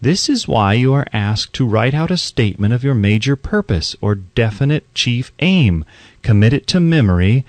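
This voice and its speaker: A male audiobook narrator with a lively, widely moving pitch in a middle register. He reads scripted self-help prose with deliberate emphasis and measured pauses. The sound is dry and close. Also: no room sound.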